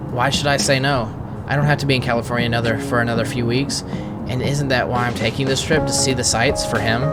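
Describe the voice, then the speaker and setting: Man reading aloud over very loud music. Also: mid-range voice. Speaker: game streamer. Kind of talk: reading visual novel narration aloud with casual reactions.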